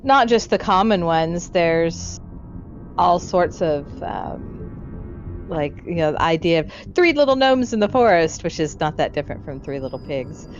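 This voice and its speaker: A woman's voice: lowish voice, expressive, unhurried. A woman co-hosting a casual discussion, thinking aloud in loose, trailing lists. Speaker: podcast host. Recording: dull lo-fi audio, music behind.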